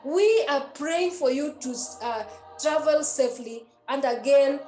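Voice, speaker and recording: Nun, high voice, earnest and repetitive preaching to youth; roomy echo, faint music underneath. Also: female voice, measured pace, darkish tone. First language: a Kenyan language. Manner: earnest and repetitive, with many hesitations. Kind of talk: exhortation to young people.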